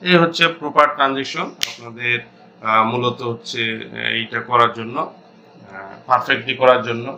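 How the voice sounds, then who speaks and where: A Bangladeshi man teaches in an informal tutorial style. His voice is medium-pitched with a sharp, bright edge. He feels his way haltingly through each explanation and drops in English technical terms. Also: gravelly. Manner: halting, thinking aloud, mixing in English accounting terms. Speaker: accounting instructor.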